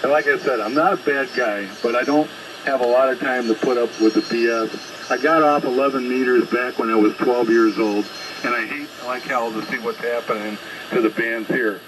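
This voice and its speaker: A man with a medium-pitched voice comes through a shortwave ham radio signal thick with noise. He lectures like a seasoned operator in a dispute, blunt and confrontational in his delivery. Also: fairly even intonation, normal speed. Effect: shortwave single-sideband radio transmission. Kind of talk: unscripted argument over ham radio. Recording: very noisy ham radio reception.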